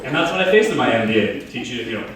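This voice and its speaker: A man's voice: medium pitch, animated, fast talker, recorded from a distance, noisy background. A man in his thirties giving a casual, joking keynote talk to a live audience, telling his story in a large conference hall. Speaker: keynote speaker. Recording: very echoey hall, heard from afar.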